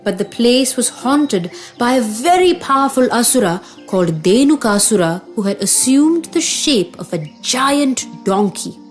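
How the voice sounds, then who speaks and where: A woman's voice: fairly high pitch, sing-song, measured pace, few pauses. A woman narrating a scripted children's mythology story as the storyteller. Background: music.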